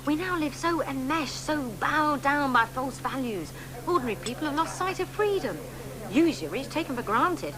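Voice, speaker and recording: An actress playing an earnest, flattering publisher in a radio drama. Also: female voice, high voice, normal speed, crowd noise, rough recording. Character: earnest female publisher, admiring and persuasive.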